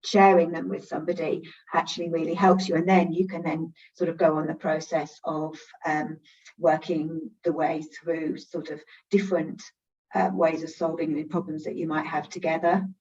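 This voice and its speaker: A woman's voice: sits low, level tone, normal speed, darkish tone, distant mic, highs cut off. A woman panelist on a video call, talking about mentoring in a hesitant way with many fillers. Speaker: panelist, project manager. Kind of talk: online panel talk.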